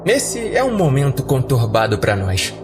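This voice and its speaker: Male Brazilian storyteller, medium pitch, conversational first-person narration with a prominent music bed. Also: wildly swooping pitch, unhurried. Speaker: horror story podcast narrator.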